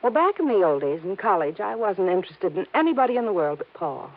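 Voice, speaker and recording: A woman's voice: medium pitch, big pitch swings, quick pace. An actress playing a worried, earnest young wealthy woman in a 1950s radio drama, on a tinny old broadcast recording. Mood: anxious. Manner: anxious, hesitant, earnest.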